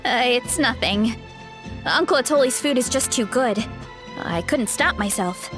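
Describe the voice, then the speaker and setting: A woman's voice: fairly high pitch, animated, a little slow, over music. An actress playing a sweet, modest young woman with a big appetite in a video game. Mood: bashful.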